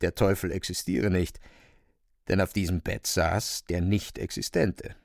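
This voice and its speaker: A man narrating a literary radio drama in a measured, descriptive storytelling manner. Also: low-pitched, fairly even intonation, creaky, dry recording, clean studio sound.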